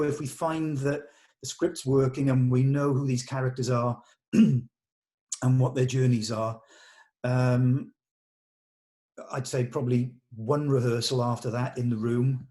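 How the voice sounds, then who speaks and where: A man with a soft-edged, mellow voice at an ordinary male pitch. He talks casually like a director briefing his team, explaining practical points carefully and considerately, often qualifying what he says. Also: steady tone, measured pace. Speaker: film director leading a table read. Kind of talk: casual production meeting talk.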